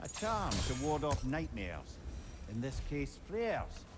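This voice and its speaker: An actor playing a wry, learned older Scottish companion who shares lore in a video game. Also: male voice, medium pitch, very animated, slow. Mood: wry, knowing. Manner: wry, storytelling. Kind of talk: video game character dialogue. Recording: game music behind.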